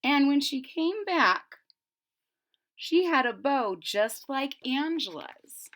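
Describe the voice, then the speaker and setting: Expressive woman reading a children's story, bright voice. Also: sits high, takes it slowly. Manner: expressive, explaining to young listeners. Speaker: storybook reader. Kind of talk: read-aloud of a children's storybook with explanatory asides.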